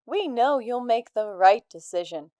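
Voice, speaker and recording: Female radio pitch voice played by a woman, polished and insidiously coaxing, resonant, crisp, very dry studio sound. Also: lively intonation, measured pace, dark tone, dry recording. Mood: coaxing, sinister. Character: sinister, coaxing female radio advertising announcer, smoothly persuasive. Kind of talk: mid-century radio commercial delivery.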